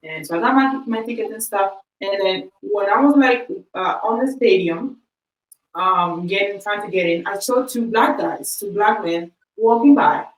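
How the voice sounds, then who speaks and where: A woman's voice: mid-range voice, moderate pace, roomy sound, far from the mic, low-quality recording. A woman whose first language is Spanish, telling a story on a podcast in a chatty way, acting out the quoted exchanges. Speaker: podcast host.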